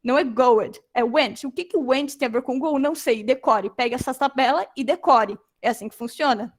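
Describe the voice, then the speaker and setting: A young adult woman giving an informal lecture, animated and acting out quoted reactions. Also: high voice, normal speed.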